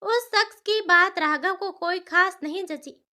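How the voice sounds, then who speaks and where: A woman narrates a cartoon story in a very high-pitched voice. Her tone is bright and forward, and she reads as a scripted storyteller. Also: unhurried. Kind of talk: scripted cartoon story narration in a Hindi moral-tale format.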